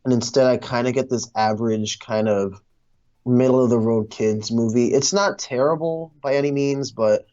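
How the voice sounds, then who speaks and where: A man's voice: mid-range voice, moderate pace, mellow. A man in a casual movie discussion, speaking hesitantly as he thinks aloud. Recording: dull, lo-fi sound.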